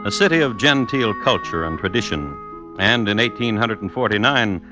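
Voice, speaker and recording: A man acting as a dry, deadpan host narrating an old-time radio crime drama in a measured, literary way. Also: lowish voice, music in the background, natural voice. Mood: wry. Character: dry, wry true-crime host and storyteller.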